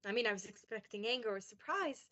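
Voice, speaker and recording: A woman's voice: fairly high pitch, lively intonation, fast, bright, forceful tone. A young woman playing a devoted, anxious young wife in a radio play, tender and pleading. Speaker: student actor in a high school radio play. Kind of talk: stage-like radio play acting. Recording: dull lo-fi recording.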